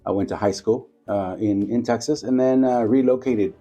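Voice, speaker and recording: A man in his forties from Guatemala, a radio station owner telling his story in a relaxed, unhurried way with plenty of fillers. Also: medium pitch, dull audio, faint music behind. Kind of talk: casual interview talk.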